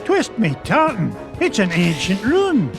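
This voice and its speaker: Casting: a bold old Scottish duck uncle for a cartoon game, high voice, very animated delivery. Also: male voice, a little slow, over music, full character voice. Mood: blustery, intrigued.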